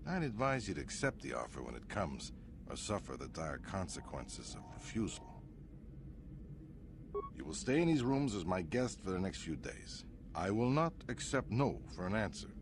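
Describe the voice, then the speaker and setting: An actor playing a smug, imperious crime boss in a video game, with a pompous, suavely threatening manner. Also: male voice, deep voice, very animated, moderate pace, noisy background. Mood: gracious menace. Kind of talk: dramatic video game acting.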